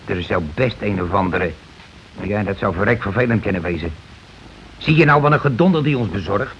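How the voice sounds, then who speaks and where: A gruff working-class husband from a radio play, an ex-soldier who blusters, dodges and schemes in colloquial speech. The old recording is dull, with some noise behind him. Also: male voice, fairly low pitch, animated, fairly quick, a bit bright. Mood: scheming. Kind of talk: Dutch radio play acting.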